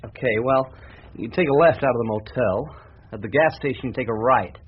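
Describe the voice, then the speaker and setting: An actor playing an eager, persistent young small-town reporter in a radio drama, polite and a little hesitant. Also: male voice, mid-range voice, brisk, slightly rough, lightly acted. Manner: hesitant, polite, then pleading. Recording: slightly muffled, faintly noisy radio recording.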